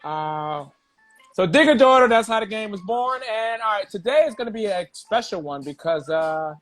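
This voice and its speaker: A man hosting a comedy talk show, talking casually and excitably, swearing and laughing a lot. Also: high-pitched, normal speed, faint music behind. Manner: profane, excitable, laughs often.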